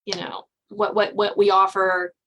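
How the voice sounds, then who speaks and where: A woman's voice: mid-range voice, flat delivery, a little slow, a bit bright, a little hiss or hum. A woman hosting a podcast interview in an informal, agreeable way, thinking aloud as she goes. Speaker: podcast host. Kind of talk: conversational interview.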